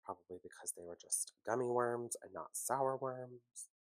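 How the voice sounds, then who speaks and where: A man hosting a casual home baking tutorial, chatty and relaxed, with a congested, sniffly, nasal voice from a cold. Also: mid-range voice, moderate pace, no room sound, low-quality recording. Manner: chatty, relaxed, self-deprecating asides.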